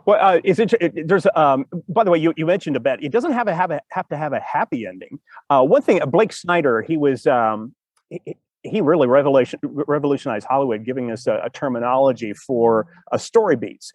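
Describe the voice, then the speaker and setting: A man hosting a casual discussion over a video call, explaining in an animated, rambling way and acting out reactions. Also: mid-range voice, dry recording. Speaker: podcast host.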